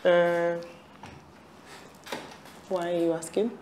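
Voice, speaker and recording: An actress playing a defiant, calculating wife and mother in a screen drama, arguing with a sharp tongue. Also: on the low side, level tone, very slow, slight background noise. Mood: evasive, defensive.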